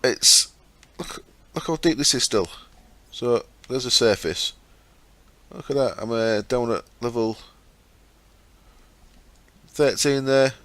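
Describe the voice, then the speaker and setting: A man hosting a gaming channel, explaining his setup step by step in a chatty way with fillers, his throat sore and croaky. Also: medium pitch, takes it slowly, fairly bright tone, no echo. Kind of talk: casual game commentary.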